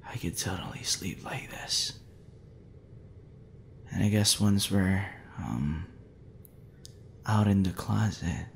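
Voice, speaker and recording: A man playing a tipsy, smitten young man in an intimate ASMR roleplay, shy, tender and halting in a hesitant murmur. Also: low voice, gravelly, a little hiss or hum, pitched below the performer's own voice, full character voice. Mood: affectionate, sleepy.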